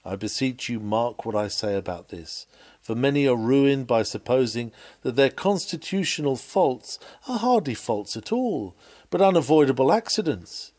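A man reading an old sermon aloud in a measured, earnest, oratorical preaching delivery. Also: mid-range voice, expressive, darkish tone. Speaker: reader of a historical sermon.

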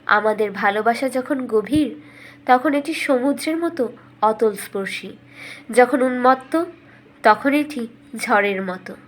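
A woman voicing a loving young princess in a fairy tale, speaking in a gentle, lyrical, earnest way. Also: a little high, slow pace, pauses often, poor audio. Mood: tender, poetic. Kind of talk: cartoon bedtime storytelling.

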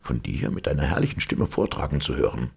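A man's voice: a bass voice, big pitch swings, brisk, vocal fry, husky, full character voice. A man voicing a humble, polite old country god in a storybook, apologetic and deferential.

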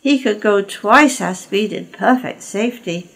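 A woman's voice: fairly high pitch, expressive, measured pace, gravelly, husky, exaggerated voice, poor audio. A woman voicing a cheeky old male engine with a mocking, sarcastic manner.

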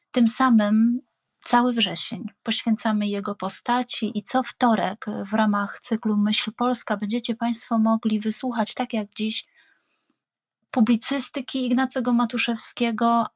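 A woman host presenting an audio series in an earnest, measured, semi-spontaneous way with hesitant pauses. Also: mid-range voice, no echo. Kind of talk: semi-spontaneous programme outro.

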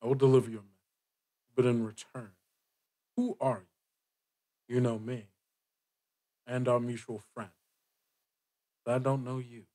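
A man plays a proud man of the church in a roleplay podcast. His voice sits at a medium pitch. He is guarded and haughty, choosing formal words with deliberate care. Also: little pitch movement, dark tone, close to an everyday voice. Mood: cool, formal. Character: proud, guarded church dignitary, cold and imperious. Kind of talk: in-character tabletop roleplay.

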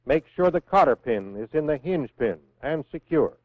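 An American man reading scripted instructions in a measured, clearly enunciated way, as the narrator of a 1960s military training film. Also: a little high, lively intonation, dry recording. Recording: phone-like vintage 1960s film soundtrack.

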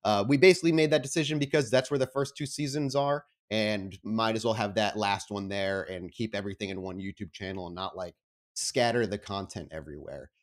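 A man with a fairly low voice hosts a tabletop roleplaying show as its game master. He speaks in an informal, enthusiastic way. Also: a little fast. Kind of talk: casual announcements, then narrating a tabletop game.

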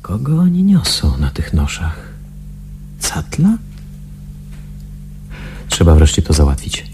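Suspicious sanatorium patient, deep lively voice, restless muttering, radio play. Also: male voice, slow, faint music behind, exaggerated voice. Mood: uneasy, suspicious. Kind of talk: Polish radio drama acting.

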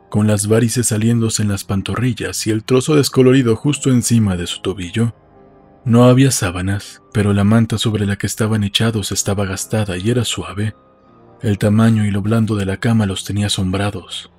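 A man reading literary narration for an audiobook in a measured storytelling manner. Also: lowish voice, darkish tone, husky.

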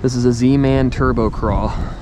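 A chatty, excitable man talks straight to his viewers while he fishes from a kayak on a river. He sounds tired. His action camera picks up clear wind and water noise around him. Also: mid-range voice, measured pace. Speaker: fishing channel host. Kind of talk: casual on-the-water vlog narration. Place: outdoors on a river, in a kayak and on the bank.

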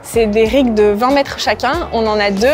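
A young woman speaking in a fairly high voice, earnest and a little hesitant, as a gym co-owner and coach being interviewed. She pauses on fillers now and then. Music plays clearly behind her. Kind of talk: interview testimonial. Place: large indoor gym. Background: background music.

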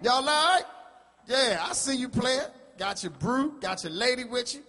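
An actor playing a brash, bawdy club comedian who teases in slang during a stage play. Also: male voice, extremely high pitch, expressive, moderate pace, heavily acted. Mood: bawdy teasing. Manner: slangy, teasing, loud crowd work. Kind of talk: stand-up routine in a stage play. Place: large indoor theatre stage.

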